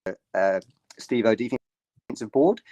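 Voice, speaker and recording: A man guesting on a sports podcast over a remote call, rambling and halting as he reels off stats. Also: medium pitch, animated, warm tone, dry recording. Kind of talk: casual sports podcast chat. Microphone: remote call mic.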